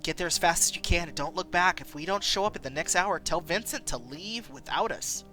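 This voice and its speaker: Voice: medium pitch, animated, fairly quick, sharp tone, faint music behind. A storyteller voicing a grave, resolute male friend giving orders in a serious, earnest manner.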